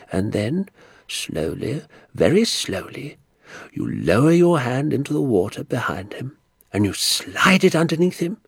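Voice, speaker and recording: A man voicing an excitable little old country doctor in an audiobook, kindly and indignant, with emphatic, drawn-out, theatrical delivery. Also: medium pitch, creaky, slightly rough, no echo, studio quality, pitched above the performer's own voice, heavily acted. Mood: gleeful, hushed. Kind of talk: acted audiobook dialogue.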